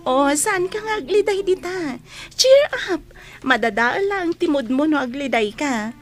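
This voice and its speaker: A woman's voice: sits high, animated, slow. A woman with an Ilocano accent playing a kind, frank young student in a radio drama, a cheerful and loyal friend. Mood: cheering, kind.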